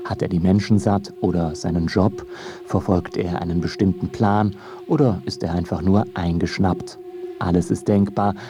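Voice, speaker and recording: A man narrating a scripted radio feature in a measured, wry manner. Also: low voice, background noise. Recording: studio narration over music bed.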